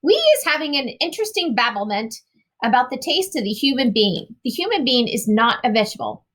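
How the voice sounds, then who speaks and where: Storybook giant, played by a woman: boastful, booming man-eater, bright forceful tone, high-pitched, folksy broken grammar. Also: very animated, a little fast. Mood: stern. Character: huge boastful man-eating male giant, muddled grammar.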